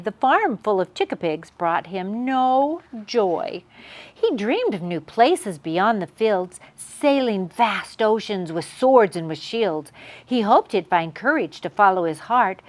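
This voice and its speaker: A woman's voice: mid-range voice, dry recording. A woman hosting a children's read-aloud, telling a picture-book story expressively to young viewers.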